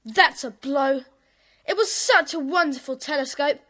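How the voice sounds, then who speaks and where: A woman's voice: high-pitched, a little slow, pushed and bright. A woman playing an earnest, somewhat plaintive schoolboy in a children's audio drama. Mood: disappointed. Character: Jack, earnest schoolboy club member.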